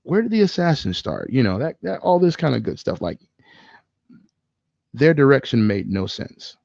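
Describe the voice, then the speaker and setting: Male podcast co-host: creaky voice, slangy, profane, opinionated, slightly dull but dry recording. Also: mid-range voice, very animated, normal speed, darkish tone, no room sound. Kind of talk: casual film discussion.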